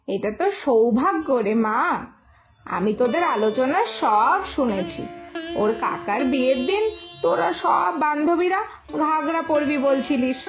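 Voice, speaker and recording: A woman voicing a loving but firm village mother in an animated moral story, scolding and coaxing in a motherly way. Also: high-pitched. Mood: tender, coaxing.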